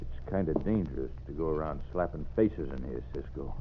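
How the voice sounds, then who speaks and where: A man's voice: rumbling low, lively intonation, a little fast. An actor playing a surly, wary Old West henchman with folksy cowboy talk in a radio western. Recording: muffled, hissy vintage radio recording.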